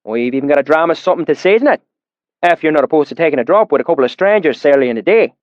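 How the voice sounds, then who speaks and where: A man playing a young man laying on a folksy fake rustic dialect, friendly and ingratiating, with a lively up-and-down delivery. The sound is dry and somewhat muffled. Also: fairly high pitch, very fast, no room sound, voice pushed up, natural voice.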